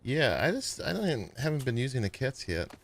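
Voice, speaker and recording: A man casually chatting to viewers as he plays and builds in a survival game, with a sleepy voice and a relaxed, rambling manner. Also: mid-range voice, a little fast, creaky, slight background noise. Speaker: gaming streamer. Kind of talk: casual gameplay commentary.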